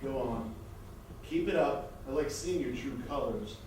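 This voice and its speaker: A man's voice: mid-range voice, unhurried, close to an everyday voice. A man playing a star's jealous, clingy lover and agent in stage comedy style, exclamatory and needling. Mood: scornful. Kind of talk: stage comedy reader's theatre acting. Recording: very echoey stage, distant mic, noisy.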